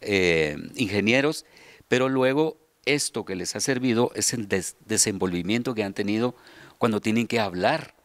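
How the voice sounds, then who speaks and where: A middle-aged man with a Guatemalan accent explaining things in an interview, often pausing to find his words. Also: fairly low pitch, no room sound. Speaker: children's radio theater subdirector and voice announcer. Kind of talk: interview answers, explanatory talk. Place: large hall with seated group, indoors. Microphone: handheld interview microphone.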